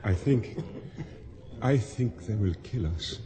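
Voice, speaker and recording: A man's voice: fairly low pitch, expressive, slow, crowd noise. An actor playing a naive, earnest island boatman in a radio drama, with simple, slightly formal phrasing. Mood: meek, anxious. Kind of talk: radio drama acting and first-person narration.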